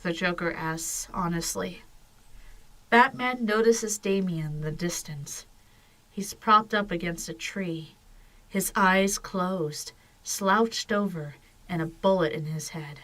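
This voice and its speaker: A woman narrates a dramatic story with a solemn, measured delivery. Her voice sits a little low for a woman, and she reads slowly, as scripted narration for an audio drama. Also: sharp tone, poor audio.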